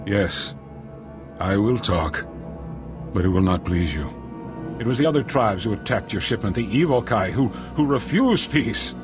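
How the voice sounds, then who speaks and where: Solemn alien chief guy, kinda deep, formal halting speech, music pretty loud behind. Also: lively intonation. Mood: solemn, wary. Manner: formal, halting, deliberate phrasing. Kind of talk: video game dialogue.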